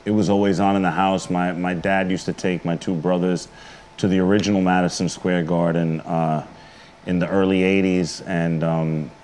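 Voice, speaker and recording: A man's voice: deep voice, level tone, moderate pace, a little mellow, slight background noise. A middle-aged man with a New York accent, chatting casually and informally as a wrestler being interviewed in a studio. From the Bronx, New York. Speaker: interview guest, pro wrestler. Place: indoor studio.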